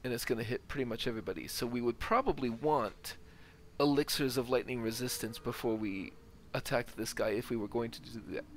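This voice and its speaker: A man's voice: mid-range voice, fairly quick, slight background noise. A man giving casual, methodical gameplay commentary, thinking aloud and reading out stats. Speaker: gaming video host. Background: game ambience.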